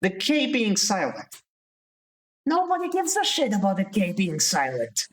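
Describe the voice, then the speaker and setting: A man's voice: high voice, huge pitch swings, unhurried. A young man from Slovakia, ranting casually as a streamer, swearing and restarting his sentences. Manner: heated ranting with profanity and restarts. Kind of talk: casual live-stream commentary.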